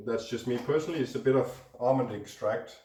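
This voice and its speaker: A hoarse, congested man hosting a cooking show, chatting casually as he mixes ingredients in a home kitchen. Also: medium pitch, quick pace, dark tone. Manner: chatty, talks while mixing food. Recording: roomy echo, distant mic, faint noise.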